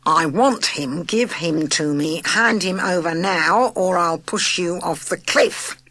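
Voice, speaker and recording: A woman's voice: fairly low pitch, slow pace, a bit bright, no room sound, full character voice. A woman reading a storybook, voicing a sinister man who threatens from a tank. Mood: menacing. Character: sinister smooth male villain in a tank.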